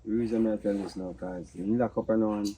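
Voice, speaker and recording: A man's voice: on the low side, fairly even intonation, brisk, noisy background, natural voice. A man with a Jamaican accent playing an earnest, defensive Rastaman in a drama, pleading and repeating himself. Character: earnest, defensive Rastaman confessing to his lover.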